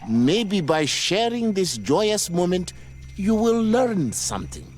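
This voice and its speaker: Video game spiritual leader: slow, solemn, formal and dignified, melodic delivery, music underneath. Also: male voice, high-pitched, very animated, darkish tone, heavily acted. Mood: gracious, measured. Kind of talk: scripted video game acting.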